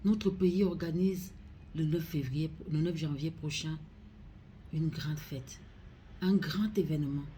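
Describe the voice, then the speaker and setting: Cameroonian woman doing a solemn public appeal, really slow and grave, dark mellow voice, some background noise. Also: low voice. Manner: grave, pleading, deliberate phrasing. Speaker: regional councillor making a public appeal.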